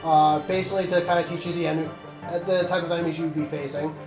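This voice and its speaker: High-voiced man explaining a game, roomy echo. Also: little pitch movement, fast. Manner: explanatory, rambling, casual swearing. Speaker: host of a video game let's play. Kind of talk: casual game commentary among friends. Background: video game music.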